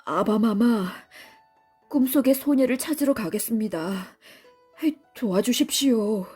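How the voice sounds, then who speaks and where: A woman's voice: on the high side, a little slow, warm tone, breathy edge, soft background music. A woman voicing an earnest, lovestruck young prince in a storybook, polite and formal in manner. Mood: earnest, determined.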